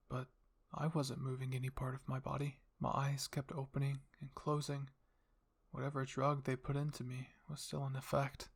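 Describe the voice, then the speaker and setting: A man narrates a story in a soft ASMR style. His voice is creaky and husky, sitting at an ordinary male pitch. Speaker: narrator and channel host.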